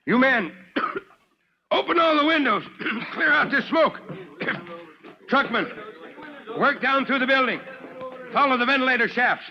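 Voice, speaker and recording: A man's voice: extremely high pitch, lively intonation, slow pace, long pauses, full character voice. An actor playing a commanding yet fatherly fire chief in a 1940s radio drama. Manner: barking orders, then fatherly. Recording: dull old radio recording.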